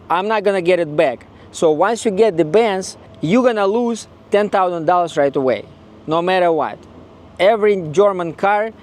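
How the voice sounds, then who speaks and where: A man's voice: high voice, darkish tone. A man with a Ukrainian accent talking casually in a vlog, blunt and regretful, often repeating himself. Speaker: car owner vlogger.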